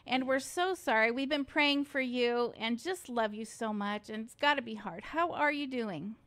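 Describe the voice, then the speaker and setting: A woman's voice: a little high, moderate pace, a bit bright. A bubbly, enthusiastic woman hosting a podcast, chatting with her guest and laughing often. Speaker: podcast host, pastor's wife. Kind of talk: casual interview chat.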